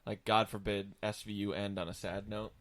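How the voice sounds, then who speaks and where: A man's voice: on the low side, little pitch movement, normal speed, crowd noise. A man co-hosting a casual podcast, joking and slipping into mock voices. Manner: joking, slips into mocking voices. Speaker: podcast co-host. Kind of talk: casual chat.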